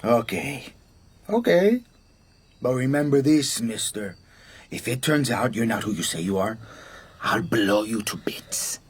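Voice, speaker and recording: An actor playing a menacing, curt and crude gangster assassin in a radio drama. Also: male voice, on the low side, animated, measured pace, pauses often, husky. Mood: threatening.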